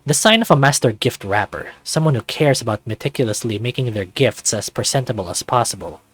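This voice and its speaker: A man reading a visual novel aloud as an animated narrator. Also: mid-range voice, brisk, slightly rough.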